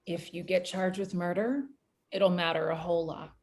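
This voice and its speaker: Low-voiced, streetwise woman sleuth played by an actress. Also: steady tone, normal speed, a little mellow, close to an everyday voice. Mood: matter-of-fact. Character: streetwise, sharp, bold female amateur investigator. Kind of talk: table read of a screenplay, acted dialogue.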